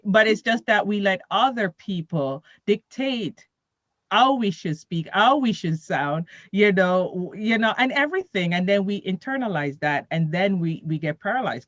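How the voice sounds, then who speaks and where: A woman's voice: medium pitch, normal speed, dry recording, dull audio. A woman co-hosting a radio talk show, giving motivational encouragement and often asking "right?". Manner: motivational, animated, repeats "right?" and "guess what?". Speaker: radio co-host. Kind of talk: casual radio chat.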